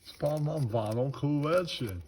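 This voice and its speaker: A man's voice: a little high, moderate pace, a little mellow, lightly acted. A man voicing a bragging, taunting puppet who talks in slangy, repeated catchphrases. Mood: boastful. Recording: clearly noisy, crackling and crinkling.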